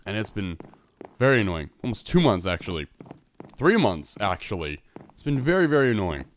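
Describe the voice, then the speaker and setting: A man's voice: fairly low pitch, very animated, fairly quick, a little hiss or hum. Congested, rambling man narrating gameplay. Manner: rambling, self-correcting, chatty. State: congested, stuffed-up nose. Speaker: Minecraft server let's player. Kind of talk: casual gameplay commentary.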